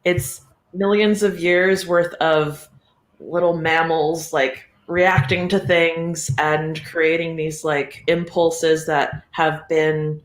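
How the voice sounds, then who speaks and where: A podcast guest with a mid-pitched voice, talking casually and reflectively in a meandering, thoughtful way with a readiness to chuckle. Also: fairly bright tone. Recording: roomy, echoey sound.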